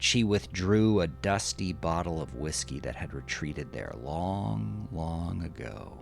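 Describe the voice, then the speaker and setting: A man narrating scripted horror fiction in a measured, literary, dramatic way, dropping at times into a hush. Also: a bass voice, vocal fry. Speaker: audiodrama narrator. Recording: music under the voice. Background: music.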